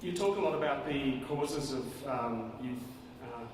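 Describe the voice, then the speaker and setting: A man's voice: mid-range voice, a little slow. A man in the audience asking a hesitant, thought-out question at a public talk. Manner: hesitant, thinking aloud. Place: large lecture hall. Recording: distant echoey hall with noise.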